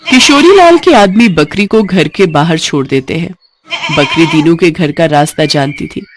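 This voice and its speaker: A woman's voice: fairly low pitch, expressive, moderate pace, background noise. A woman reading a scripted bedtime moral story as its narrator.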